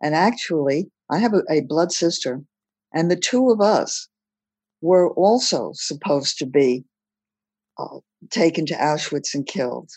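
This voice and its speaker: An older woman from Belgium, recounting her life story as a podcast guest in a measured, plain-spoken way. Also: low voice, animated, darkish tone, slightly rough, no room sound. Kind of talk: personal storytelling in a podcast interview.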